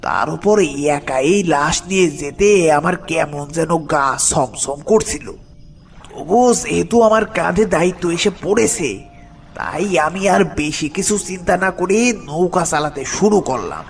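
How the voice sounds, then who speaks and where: A man playing an older village boatman, frightened and hesitant, slowly telling a dramatic horror story with a creaky voice. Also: a little high, animated, heavily acted. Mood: haunted, tense. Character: frightened village boatman uncle recounting his haunted past. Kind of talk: horror cartoon story narration. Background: music.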